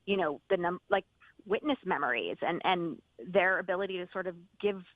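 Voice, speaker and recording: A woman podcast host joining a roundtable discussion, speaking in a measured way with frequent fillers. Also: lowish voice, no room sound. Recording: tinny phone-like line.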